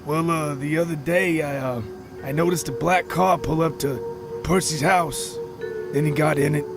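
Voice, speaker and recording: A man voicing a dim, distracted suburban husband who talks hesitantly and slowly catches on, in a comic audio story. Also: a little high, fairly even intonation, gravelly, over music. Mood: vague, musing. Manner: hesitant, slow-witted, rambling.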